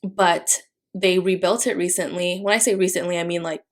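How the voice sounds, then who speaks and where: Chatty young woman, somewhat low voice. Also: steady tone, moderate pace. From Philippines. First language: Tagalog. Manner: chatty, rambling, many fillers and restarts. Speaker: planner vlogger. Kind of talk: casual voice-over while decorating a planner.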